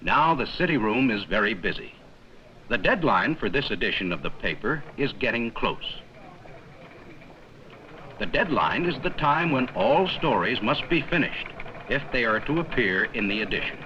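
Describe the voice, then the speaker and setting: A man reading scripted 1950s educational film narration in a measured, explanatory manner with simple, plain sentences. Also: mid-range voice, very animated, bright, forceful tone, muffled recording. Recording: worn, noisy 1950s 16mm film soundtrack.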